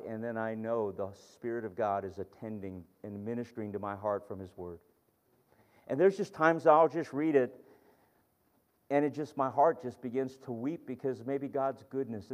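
A man's voice: medium pitch, a little mellow, big-room sound. A man preaching a sermon in an earnest, conversational way, often restarting his sentences.

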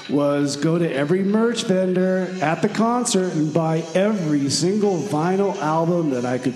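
A man playing a chatty, overconfident time-traveler leaving a breezy message in comedy radio theater. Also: high-pitched. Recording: echoey hall reverb, loud music behind.

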